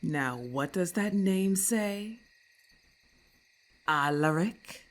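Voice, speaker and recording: A woman's voice: fairly low pitch, lively intonation, very slow. A woman voicing a haughty, irritable lamia in an ASMR roleplay, with hissing, imperious and formal speech, scornful and menacing. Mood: accusing.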